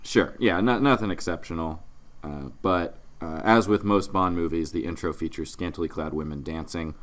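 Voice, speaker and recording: Male comedy podcast co-host, low register, conversational, dryly sarcastic. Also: normal speed. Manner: dry, sarcastic joking. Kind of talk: casual comedy podcast banter.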